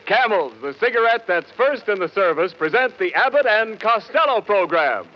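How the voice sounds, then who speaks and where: High-voiced American radio announcer, a man. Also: sing-song, moderate pace. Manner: emphatic, showy announcing. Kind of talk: 1940s radio show introduction. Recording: muffled 1940s radio recording. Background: orchestral music.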